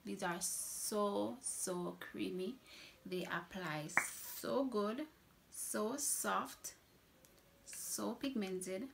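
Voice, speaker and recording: A young woman from Trinidad works as a makeup artist and reviews her products for a casual vlog. She talks slowly, with a gravelly fry, and stacks up repeated words to stress how much she loves something. A faint hiss of background noise sits under her voice. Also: medium pitch. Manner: enthusiastic, repeats words for emphasis.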